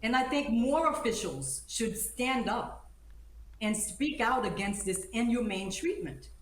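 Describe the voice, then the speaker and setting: A woman's voice: a little high, animated, unhurried. A woman born in Haiti and raised in the United States, speaking emphatically and indignantly as an attorney guest in a live news interview. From born in Haiti, grew up in the United States. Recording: roomy, echoing sound.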